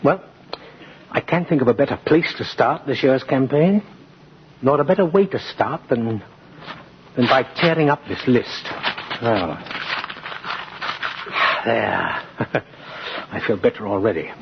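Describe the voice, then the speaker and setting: An older man playing a kindly, principled department-store Santa Claus in a 1950s radio drama, gentle and avuncular with little murmurs and exclamations. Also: medium pitch, expressive, a little slow, gravelly, pauses often, background noise. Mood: indignant, determined. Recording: muffled vintage radio broadcast, audience behind.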